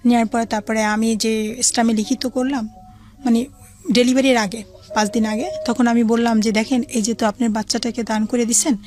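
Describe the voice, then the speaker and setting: Woman, Bangladeshi accent, fairly high voice, personal storytelling, long detailed run-on sentences, soft music behind. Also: flat delivery, moderate pace. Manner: recounts in long run-on detail, quoting dialogue. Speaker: guest telling own life story.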